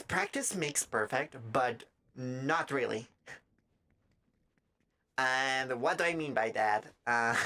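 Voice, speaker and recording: A streamer with a raspy, dry-throated voice, chatting casually in a halting way and often breaking off mid-thought. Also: mid-range voice, bright, forceful tone. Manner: halting, self-interrupting, trailing off mid-thought. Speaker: live streamer. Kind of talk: casual rambling chat while gaming, giving art advice.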